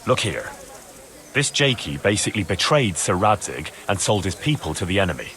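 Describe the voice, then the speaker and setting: A man's voice: low-pitched, very animated, moderate pace, a bit bright, heavily acted. A man playing a hard-nosed young medieval man-at-arms in a video game, blunt, sarcastic and pressing. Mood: stern. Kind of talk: video game cutscene acting.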